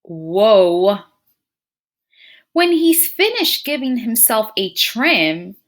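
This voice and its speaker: Female children's read-aloud teacher: slow, very lively pitch, slightly high voice, engaging listeners with questions. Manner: expressive, addresses listeners as friends and scholars, checking in with questions. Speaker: teacher reading a picture book aloud.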